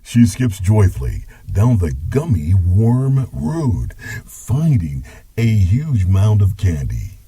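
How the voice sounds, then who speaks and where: A male narrator reads a dramatic scripted story in a low, very husky voice. He takes his time and varies his pitch widely from phrase to phrase. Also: sing-song, takes it slowly, dark tone, no room sound.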